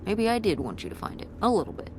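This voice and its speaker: An actress playing an earnest teenage daughter who designs websites, speaking in a casual, sincere, slangy way in naturalistic game dialogue. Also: female voice, medium pitch, wildly swooping pitch, fast talker, noisy background. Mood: curious.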